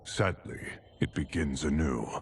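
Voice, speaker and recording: Deep, grave, weary warrior lord. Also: male voice, a little hiss or hum. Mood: grave, regretful. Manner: grave, formal, measured. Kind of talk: video game cutscene acting.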